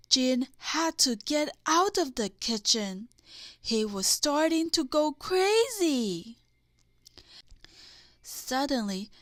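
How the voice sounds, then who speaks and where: A woman reading a children's bedtime story aloud in a deliberate way, with dramatic emphasis. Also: high-pitched, fairly bright tone, dry recording. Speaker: storybook reader.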